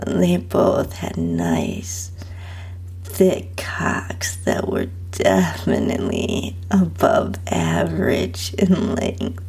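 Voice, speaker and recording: A woman with a breathy, whispery, husky voice narrates a first-person story in an intimate, sultry, hushed manner. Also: very deep voice, wildly swooping pitch. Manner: slow, hushed, sultry delivery. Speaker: host and narrator of own story podcast.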